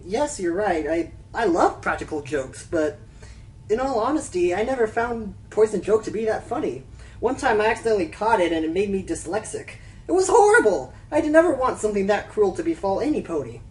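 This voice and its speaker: A woman's voice: medium pitch, animated, normal speed, far from the mic, lightly acted, low-quality recording. A woman in a radio play voicing a playful but sincere prankster pony. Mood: sincere, defensive.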